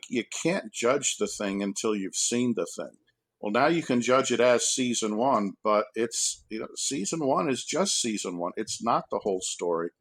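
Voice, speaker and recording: Man, slightly low voice, casual discussion, halting as he works out his thoughts. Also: fairly bright tone. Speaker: guest scholar and commentator.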